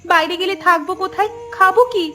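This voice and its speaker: A timid young village sister-in-law from a cartoon, put on by a woman. Her voice is very high and she talks in an anxious, questioning way, fretting over what comes next. There is faint music underneath. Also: steady tone, fast talker, pushed and bright. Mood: worried doubt. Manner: fretful, questioning. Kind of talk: cartoon voice acting.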